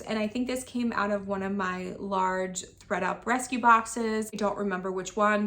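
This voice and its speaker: A woman in her thirties who is losing her voice and sounds raspy, running through her online resale sales in a matter-of-fact, item-by-item way. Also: mid-range voice, fairly bright tone. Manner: itemized, matter-of-fact listing. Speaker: online reseller. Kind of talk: sales recap vlog.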